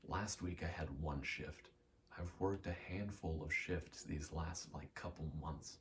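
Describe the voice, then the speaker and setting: Very low, slow-talking man rambling. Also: steady tone, fairly bright tone, slight background noise. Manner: meandering, self-interrupting, understated. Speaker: ASMR creator. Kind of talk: rambling casual ASMR monologue while gaming.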